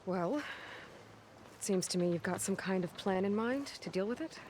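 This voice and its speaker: A woman playing a level-headed, empathetic outlander adventurer in a video game, speaking in a measured, understated way. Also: mid-range voice, lively intonation, a little hiss or hum, lightly acted. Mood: wry, practical. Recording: loud music under the voice.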